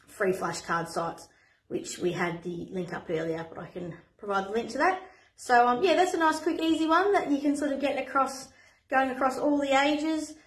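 A woman, a playgroup facilitator chatting to camera in an encouraging way with frequent ums. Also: on the high side. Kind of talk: online playgroup lesson, talking to camera. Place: indoor play room. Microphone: camera at a few metres. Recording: echoey room.

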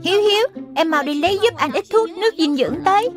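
A woman's voice: very high voice, animated, fast talker. A woman voicing a wise young creature, an instructive big brother figure in a dubbed children's cartoon, with an admonishing manner. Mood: urging. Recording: echoey, music behind the voice.

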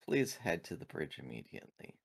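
A man's voice: low-pitched, sing-song, brisk, creaky, a crowd in the background, heavily acted. A man playing a polite, formal android crewmember in a tabletop game, speaking precisely and courteously. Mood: polite, composed.

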